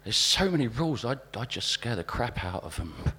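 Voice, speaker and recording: A man's voice: mid-range voice, big pitch swings, moderate pace, bright, forceful tone, slightly rough, voice pushed up, full character voice. A man voicing a bullish London office worker who grumbles in a slangy, repetitive way during a live reading. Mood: indignant.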